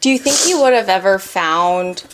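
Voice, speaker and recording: A woman's voice: medium pitch, animated, moderate pace, a bit bright, a little hiss or hum. A woman hosting a casual interview, asking questions and reflecting in a conversational way. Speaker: podcast host, interviewer.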